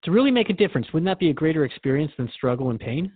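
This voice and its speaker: A man's voice: fairly high pitch, sing-song, racing, husky, no echo. Male performer, earnest radio host, reflective, conversational interview style, dry room, narrow tinny band. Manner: reflective, conversational, hesitant at times.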